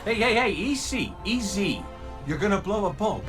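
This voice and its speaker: An actor playing a cocky, wisecracking robot gunslinger in a video game cutscene, talking in a flippant, theatrical ramble. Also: male voice, high voice, very animated, moderate pace, music in the background. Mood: pleading, excitable.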